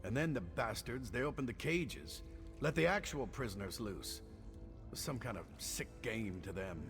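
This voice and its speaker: An actor playing a chatty, sardonic prison engineer in a video game. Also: male voice, mid-range voice, lively intonation, moderate pace, fairly bright tone. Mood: sardonic, explaining. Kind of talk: video game character acting. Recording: faint game music under the voice.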